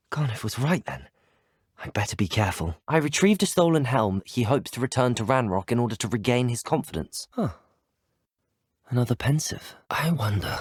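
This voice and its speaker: An actor playing an earnest, brave, well-spoken teenage student wizard in a video game. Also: male voice, mid-range voice, husky, no echo, clean studio sound. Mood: quiet, wary. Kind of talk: video game dialogue clips.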